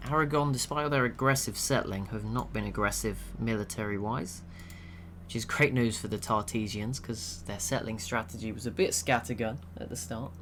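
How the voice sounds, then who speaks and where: A young man giving relaxed, chatty commentary over a strategy game he is watching, laughing to himself now and then. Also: on the low side, moderate pace, a little hiss or hum. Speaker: gaming channel host.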